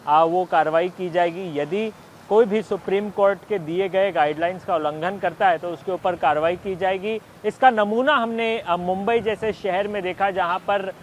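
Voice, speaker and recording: A man's voice: high voice, steady tone, normal speed. A man reporting and interviewing on location for TV news, in hesitant, filler-heavy phrasing. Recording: outdoor street noise, traffic.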